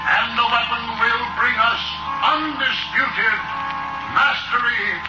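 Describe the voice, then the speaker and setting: Radio drama casting: portentous old warmonger, very high voice, distant and echoey on an old broadcast. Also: male voice, steady tone, slow, muffled recording. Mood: ominous. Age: older. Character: old man proclaiming a supreme weapon and planetary mastery. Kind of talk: 1940s radio drama acting. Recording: echoey, distant 1940s radio, loud music.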